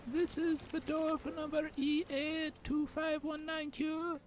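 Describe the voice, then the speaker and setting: A man voicing a high, polite underworld office clerk who calls out numbers in a slow, official way. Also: flat delivery, slight background noise. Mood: officious. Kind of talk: audio roleplay acting.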